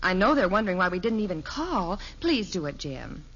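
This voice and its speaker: An actress playing a gentle, conscientious middle-aged American wife and mother in a 1950s radio sitcom. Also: lowish voice, sing-song, fairly quick. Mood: concerned. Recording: muffled, noisy vintage radio recording with clicks.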